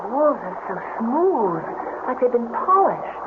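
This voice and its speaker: A woman's voice: fairly high pitch, big pitch swings, a little slow. An actress playing an uneasy, entranced woman in a cave in a radio horror drama. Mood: wary, curious. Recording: tinny, very noisy old radio broadcast.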